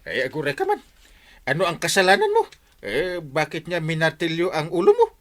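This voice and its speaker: An actor playing an excitable, blustering village captain with an Ilocano accent in a radio comedy, full of exclamations. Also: male voice, fairly high pitch, moderate pace. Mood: astonished, questioning. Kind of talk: broad radio comedy acting.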